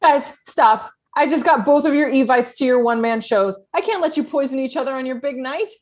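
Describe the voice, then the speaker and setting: A woman acting as a flippant, self-serving young woman in a comedy table read, with breezy deadpan asides. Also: sits high, fairly quick, natural voice. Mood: urgent.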